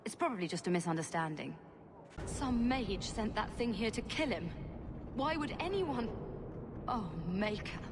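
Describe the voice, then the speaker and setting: A woman's voice: on the high side, animated, normal speed, lightly acted. Actress as earnest guardswoman amid background noise. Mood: puzzled, worried. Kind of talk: dramatic video game acting. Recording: clear ambient noise behind.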